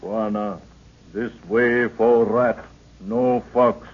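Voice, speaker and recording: An actor playing a loyal African guide in an old radio serial, speaking clipped broken English with questioning grunts. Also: male voice, fairly low pitch, steady tone, extremely slow. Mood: eager, grumbling. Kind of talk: 1940s radio serial acting. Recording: muffled phone-like old radio recording.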